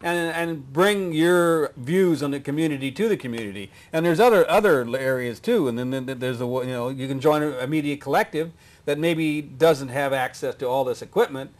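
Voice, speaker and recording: A man's voice: fairly high pitch, normal speed. A man interviewing guests in a casual conversation, often affirming them. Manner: conversational, affirming with frequent "mm-hmm".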